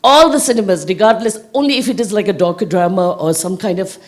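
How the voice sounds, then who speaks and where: A woman from the audience speaking up loudly and forthrightly. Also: mid-range voice, fast. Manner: loud, forthright, emphatic. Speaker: audience member commenting. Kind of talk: panel discussion comment.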